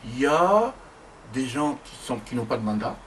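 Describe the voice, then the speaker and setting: Man, from the DR Congo, casual conversation, emphatic and exclamatory, mid pitch, distant mic, faint ambience. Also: moderate pace, slight background noise. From Democratic Republic of the Congo. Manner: emphatic, exclamatory, jumps high when excited. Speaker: conversation partner.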